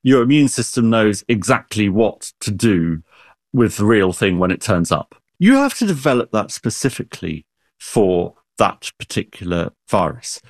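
A man's voice: fairly low pitch, sing-song, moderate pace, no room sound, clean studio sound. A man who is an expert guest, explaining science in an interview with everyday analogies and frequent restarts.

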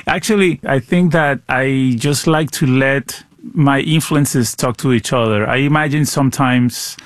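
A man's voice: medium pitch, unhurried, dry recording, studio quality. Middle-aged Venezuelan man in conversation. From Caracas, Venezuela. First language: Spanish. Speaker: musician, interview guest. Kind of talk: conversational interview answers. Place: radio studio.

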